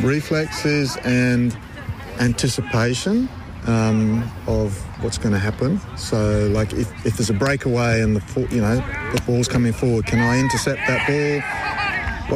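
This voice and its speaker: A middle-aged man from Africa, a guest talking in a relaxed, hesitant way with many pauses. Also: mid-range voice. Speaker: interview guest, amateur goalkeeper. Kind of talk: casual chat. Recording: loud outdoor street noise.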